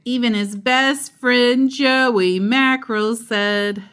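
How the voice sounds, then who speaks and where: A woman reading a children's picture book aloud in an expressive, playful way, with chatty asides. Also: fairly high pitch, takes it slowly, clean tone. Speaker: storytime host and narrator.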